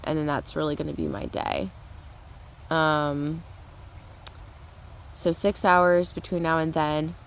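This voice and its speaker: A young woman with a hoarse, fading voice, chatting casually into her phone while out on a walk, relaxed and rambling as she thinks aloud. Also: low-pitched. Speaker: mindset coach vlogger. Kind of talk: casual vlog chat. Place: outdoors on a walk. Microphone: phone held in hand.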